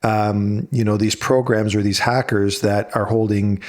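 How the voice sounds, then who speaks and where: Low-voiced man hosting a rambling interview. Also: little pitch movement, a little slow, darkish tone. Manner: rambling, many fillers and restarts. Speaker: podcast host. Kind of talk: casual interview questions. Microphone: video call.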